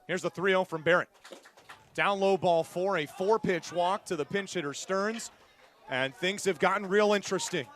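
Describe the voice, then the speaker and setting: A man's voice: sits high, normal speed, fairly bright tone, slight background noise. A man doing live play-by-play sports commentary in punchy, clipped phrases, as an announcer calling the game. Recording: live stadium crowd behind the voice. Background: outdoor ballpark crowd.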